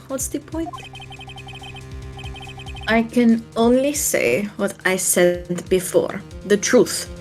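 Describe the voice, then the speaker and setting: A woman voicing a cool, dismissive female courtroom witness in a game fan dub, with measured, condescending phrasing. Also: medium pitch, darkish tone. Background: music.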